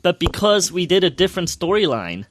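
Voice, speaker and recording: A man's voice: sits high, very animated, a little fast, slight background noise. A man chatting casually as a podcast co-host, enthusiastically recounting an experience in a rambling way, often repeating his words.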